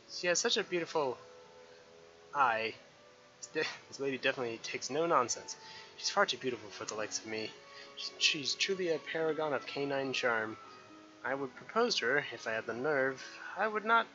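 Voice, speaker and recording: Smitten, bashful fantasy admirer played by a male reader, theatrical and halting, middle register, over game music. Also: mid-range voice, lively intonation, pushed and bright, dull audio.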